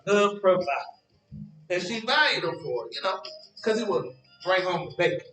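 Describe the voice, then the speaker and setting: A man's voice: high voice, expressive, brisk, fairly bright tone. An actor playing a sly, gossipy, mischievous man in a stage play, spinning folksy anecdotes in an American accent. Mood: amused, wistful. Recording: echoey stage, distant mic, music.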